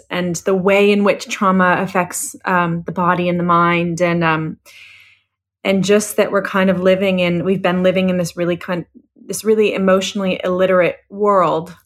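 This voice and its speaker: A woman's voice: lowish voice, fairly even intonation, normal speed. A woman interviewer talking in a casual, conversational way, with informal, rambling phrasing.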